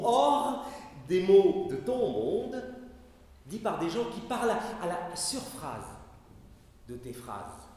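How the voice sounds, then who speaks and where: A man giving a live sound poetry reading on a festival stage, reciting slowly and measuredly with wide swings in pitch and loudness. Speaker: poetry performer. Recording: very echoey hall, live festival capture.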